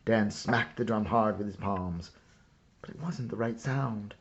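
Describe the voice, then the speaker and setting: A man reading a children's story aloud as scripted narration, with a measured, dramatic storyteller's delivery. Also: fairly low pitch, muffled recording, slight background noise. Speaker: storyteller reading a picture book.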